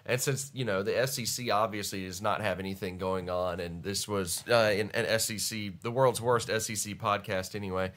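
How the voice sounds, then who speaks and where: A man's voice: fairly low pitch, fairly even intonation, normal speed, hardly any pauses, fairly bright tone. Congested man hosting a casual stream. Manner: rambling, self-deprecating, laughs often. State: stuffy, congested voice. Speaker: podcast host. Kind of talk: casual live-stream chat.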